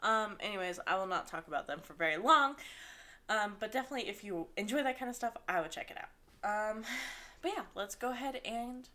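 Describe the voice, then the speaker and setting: A woman's voice: medium pitch, animated, a little fast, bright, forceful tone. A young woman with a slight lisp co-hosting a podcast in rambling, giggly casual chat. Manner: giggly, rambling, laughs often. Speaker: podcast co-host.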